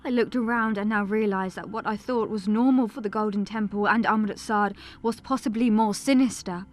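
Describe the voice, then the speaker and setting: A woman's voice: mid-range voice, steady tone, moderate pace, close to an everyday voice. A woman playing an earnest young pilgrim who narrates a family tragedy in a scripted audio drama.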